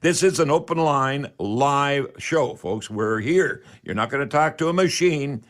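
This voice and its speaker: A man's voice: medium pitch, animated, a little slow, fairly bright tone. A man hosting a live call-in radio show in a folksy, repetitive patter.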